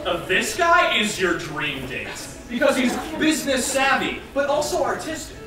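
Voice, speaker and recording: A young man playing an excitable scientist who lectures on rom-com rules in a mock-serious, scripted stage style. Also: extremely high pitch, moderate pace, over music, full character voice. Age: young adult. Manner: theatrical, mock-serious delivery. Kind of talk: scripted comic stage narration. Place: stage in a large theater. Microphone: far camera. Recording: distant, very echoey theater stage.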